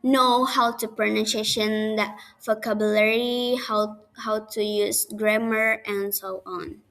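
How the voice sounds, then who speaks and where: A young woman with an Indonesian accent giving a class presentation in halting non-native English, restarting her sentences. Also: mid-range voice, fairly even intonation, a bit bright. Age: young adult. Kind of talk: class presentation on speaking skills.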